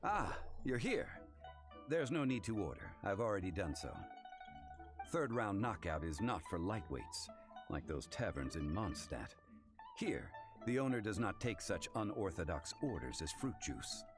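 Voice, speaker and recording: Deep-voiced, refined gentleman connoisseur. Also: big pitch swings, music in the background. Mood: composed, courteous. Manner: formal, measured, erudite. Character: refined, composed gentleman connoisseur, scholarly and polite.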